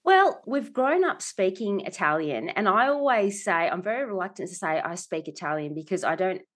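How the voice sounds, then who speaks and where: A woman's voice: medium pitch, very animated, moderate pace, a bit bright. Chatty Australian woman, middle-aged guest. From Melbourne, Australia. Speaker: guest, company director. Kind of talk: conversational interview.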